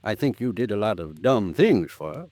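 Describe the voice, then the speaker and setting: A man playing a formal, frank, fatherly older lawyer in an old-time radio drama, speaking in a measured, protective way. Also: on the low side, big pitch swings, mellow, dry recording. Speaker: supporting actor.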